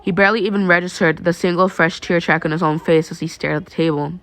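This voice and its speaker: A woman's voice: on the low side, fairly even intonation, fast talker, a bit bright. A woman narrating fan fiction in the style of an amateur audiobook.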